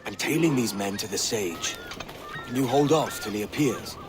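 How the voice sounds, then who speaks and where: A man's voice: on the low side, lively intonation, normal speed, natural voice. A man playing a cocky pirate captain. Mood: tense. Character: bold young pirate captain, casual and cocky. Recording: game cutscene under loud music.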